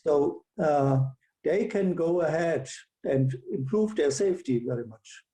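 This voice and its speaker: A man answering as an interview guest, halting and searching for his words. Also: on the high side, expressive, warm tone, low-quality recording.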